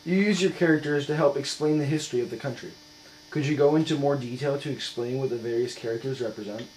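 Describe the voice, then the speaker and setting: A man with a mid-pitched voice asks interview questions from a script. His delivery is formal and polite. The room is very echoey, and a low electrical hum runs under the recording. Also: quick pace, a little mellow.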